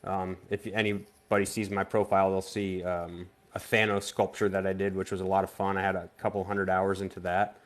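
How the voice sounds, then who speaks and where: A man from Vermont, a guest artist on a casual live-stream interview, speaking in a halting way with frequent restarts. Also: sits low, level tone. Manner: halting, stops and restarts often.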